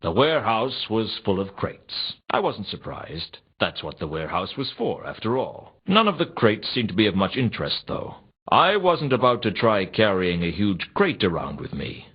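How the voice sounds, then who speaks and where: An actor playing a world-weary, suspicious, sardonic private detective, narrating a noir video game in a dry, wry, deadpan manner. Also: male voice, lowish voice, normal speed, husky. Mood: musing, deadpan. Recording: slightly dull, compressed console game audio.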